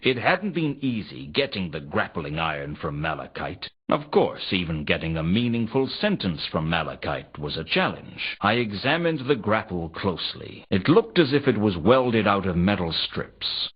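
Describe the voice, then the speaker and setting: Noir game gumshoe: world-weary man, dry wit, low and slightly husky, swinging intonation. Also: exaggerated voice. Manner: deadpan, dryly sardonic. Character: wry, world-weary male private detective narrating his case. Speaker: detective narrator of a noir adventure game. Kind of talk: scripted first-person noir narration. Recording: dull, lo-fi ripped game audio.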